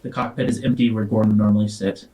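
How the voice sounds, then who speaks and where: A man running a tabletop roleplaying game, telling the story to the players in a halting, deliberate way. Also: lowish voice, flat delivery, mellow, muffled recording. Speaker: game master.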